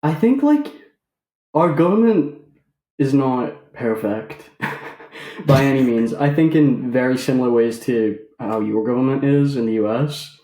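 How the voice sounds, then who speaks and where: A man in his mid-twenties, originally from Ireland, speaks with an accent that blends Irish and English. He is easygoing and self-deprecating, pausing on hesitant "um"s, and his voice sits in a roomy, echoey space. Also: medium pitch, expressive, mellow. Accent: mixed Irish and English. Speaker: podcast guest. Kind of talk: casual interview.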